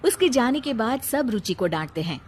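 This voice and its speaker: A woman's voice: fairly high pitch, big pitch swings, normal speed, slight background noise. A woman narrating a scripted cartoon family story in the role of storyteller.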